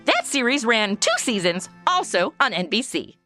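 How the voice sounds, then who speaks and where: A woman's voice: fairly high pitch, big pitch swings, normal speed, music in the background. A woman with a bubbly, flirty, self-mocking manner who hosts a comedy audio drama and plays its brassy lead. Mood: chatty. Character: flirty, brassy modern woman out of her time. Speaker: podcast host and actress. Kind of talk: comedy acting and chatty outro.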